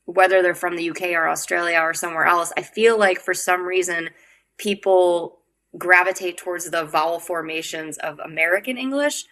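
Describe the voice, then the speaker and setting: Young woman from the Upper Midwest with a Wisconsin accent, low-ish and gravelly, easy podcast-guest chatter. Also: moderate pace, fairly bright tone. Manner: chatty, laughs often, acts out quotes. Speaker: singer and guest. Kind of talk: casual podcast chat.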